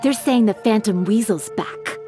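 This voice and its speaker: A woman's voice: mid-range voice, unhurried, music in the background, natural voice. An actress playing a chatty, teasing townswoman in a game, trading gossip. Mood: gossipy.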